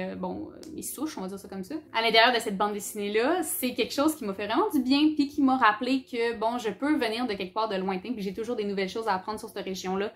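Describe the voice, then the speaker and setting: Young quebecoise girl chatting about books, casual and candid, drops english words and some swearing. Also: a little high. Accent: Quebec French. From Abitibi-Témiscamingue, Quebec. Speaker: book YouTuber. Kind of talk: casual book-review vlog.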